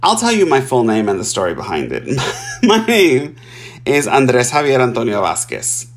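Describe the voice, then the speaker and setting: Man in his 40s, from Puerto Rico, casual life storytelling, lively up-and-down voice, laughs a lot. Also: medium pitch, moderate pace. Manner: animated and chatty, laughs often, addresses listeners as y'all.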